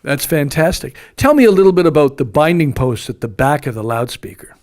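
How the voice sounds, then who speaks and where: A man's voice: on the high side, animated, fairly quick, darkish tone. A man interviewing someone about a product, asking attentive questions and giving short acknowledgements.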